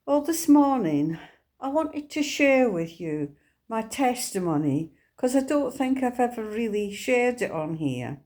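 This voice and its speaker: Slow-spoken Scottish woman sharing testimony. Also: a little high, very animated, dark tone. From Scotland. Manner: earnest, unhurried storytelling. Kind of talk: personal faith testimony.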